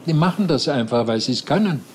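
An actor playing a stern, condescending official who interrogates and fines a hiker in a dry tone. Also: male voice, fairly high pitch, animated, a little fast, slight background noise, natural voice. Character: stern, smug male nature-reserve enforcer.

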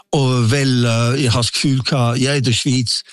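A man in his sixties with a Swiss German accent, telling his life story as an interview guest in a halting, anecdotal way. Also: medium pitch, steady tone, no room sound, clean studio sound. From Switzerland. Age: 60s.

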